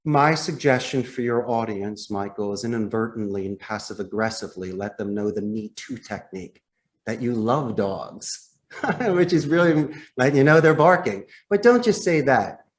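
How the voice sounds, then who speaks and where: Man, mid-range pitch, sales trainer, podcast guest, emphatic, demonstrative, salesman-like, direct address, rhetorical questions. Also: moderate pace. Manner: emphatic, demonstrative, acts out example phone lines. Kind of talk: coaching explanation of phone sales technique.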